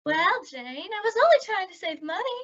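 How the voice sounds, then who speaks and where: A woman's voice: extremely high pitch, very animated, moderate pace, a bit bright, lo-fi sound, heavily acted. A woman playing a naive, good-hearted young airhead, earnest and literal-minded, in an old-time radio comedy. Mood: excited, defensive.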